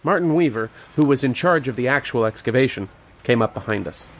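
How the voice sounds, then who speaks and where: A man's voice: medium pitch, lively intonation, fairly quick. A man playing a learned, patient archaeologist who explains and narrates in an old-time radio horror drama style. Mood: uneasy, brooding. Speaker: lead actor and narrator.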